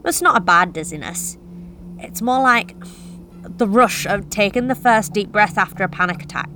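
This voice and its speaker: A woman's voice: mid-range voice, animated, normal speed, sharp tone, slight background noise. Young woman, horror story narration, wry, confiding, mid-range voice. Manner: wry, confessional, conversational. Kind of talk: first-person horror story reading.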